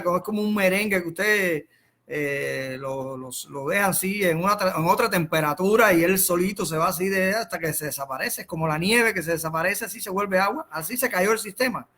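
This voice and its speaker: A Cuban man with a high-set, sharp and bright voice talks informally as a political commentator on a live stream. He explains his points in a halting way, doubling back on words and phrases and asking the listener rhetorical questions. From Cuba.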